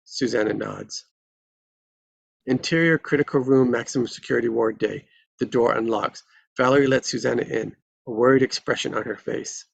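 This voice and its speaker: Gravelly, husky man narrating a screenplay. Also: mid-range voice, normal speed, no echo, low-quality recording. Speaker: stage directions reader. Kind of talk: scripted narration at a live table read.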